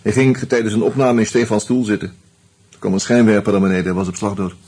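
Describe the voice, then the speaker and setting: An actor playing an easygoing amateur sleuth who talks hesitantly and narrates wryly in a Dutch radio drama. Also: male voice, fairly low pitch, little pitch movement, quick pace, darkish tone, husky. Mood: pensive. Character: Hans, easygoing amateur sleuth and wry first-person narrator.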